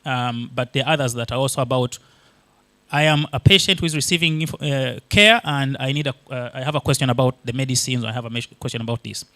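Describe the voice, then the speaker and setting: A man's voice: mid-range voice, steady tone, quick pace, sharp tone, dry recording. A man from Uganda whose first language is Luganda, giving a conference talk and explaining things step by step with many fillers and restarts. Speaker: conference presenter.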